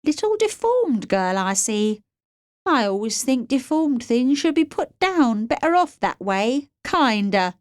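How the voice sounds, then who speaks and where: Woman as staccato young male thug, pitched high. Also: sing-song, a little slow, no echo. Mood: cruel, taunting. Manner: staccato, sneering. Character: menacing young male thug, small and cruel. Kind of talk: audiobook character voice.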